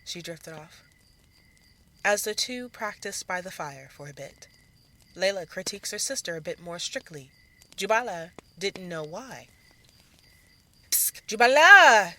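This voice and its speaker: A woman's voice: fairly low pitch, animated, unhurried, fairly bright tone. A woman narrating a scripted audio drama as a dramatic storyteller.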